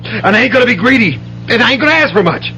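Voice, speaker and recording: A hissy old radio drama recording of a desperate gambling father. His pitch swings widely as he stammers, pleads and turns bitter, pressing hard on his words. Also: lively intonation, fast talker, sharp tone, full character voice. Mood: bitter, agitated. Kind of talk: 1970s radio drama acting. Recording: noisy old radio broadcast with hum.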